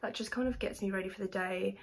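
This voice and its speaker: A woman's voice: medium pitch, quick pace, breathy edge. A young woman from South Africa who grew up speaking Afrikaans, chatting casually while she does her makeup for a vlog. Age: young adult. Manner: chatty, relaxed, says "literally" and "just" a lot. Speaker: makeup vlogger. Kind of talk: casual makeup tutorial chat.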